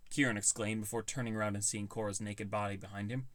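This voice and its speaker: A man's voice: lowish voice, flat delivery, fast, fairly bright tone, dry recording. A man reading scripted first-person audiobook narration.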